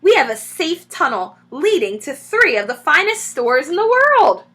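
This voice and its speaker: A woman's voice: high voice, very animated, normal speed, fairly bright tone, pitched above the performer's own voice. Woman doing a rousing fox leader. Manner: grand, rousing speechmaker. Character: proud, cheerful male fox leader.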